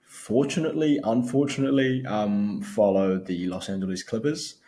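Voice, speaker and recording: A young man with a New Zealand accent, co-hosting a casual chat in a halting, filler-heavy way. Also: lowish voice, mellow. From born in Sri Lanka, grew up in New Zealand. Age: young adult. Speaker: podcast co-host.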